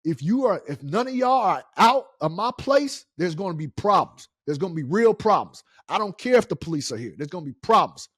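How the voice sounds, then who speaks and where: A middle-aged American man hosting a casual story time, speaking in an animated, indignant and emphatic way. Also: sits high, brisk, few pauses, husky, no echo, clean studio sound. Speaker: story-time show host.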